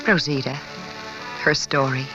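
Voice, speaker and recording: Gentle, low-voiced concerned companion. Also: female voice, steady tone, unhurried. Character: concerned companion gently rousing a restless sleeper. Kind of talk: 1940s radio drama acting. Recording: muffled old radio with loud music.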